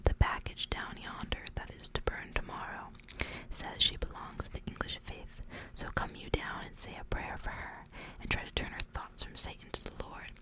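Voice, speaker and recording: A woman voicing a burly, mocking male village constable with husky tones in a horror storybook reading. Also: moderate pace, whispered, close to an everyday voice, rough recording. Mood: stern, scornful.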